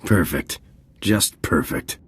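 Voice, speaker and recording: Husky, terse male soldier. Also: sits low, animated, takes it slowly, heavily acted. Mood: dry annoyance. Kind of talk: video game voice acting.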